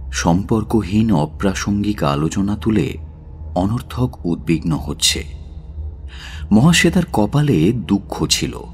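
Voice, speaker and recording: A man narrating a literary audio story in a measured, dramatic style. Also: fairly low pitch.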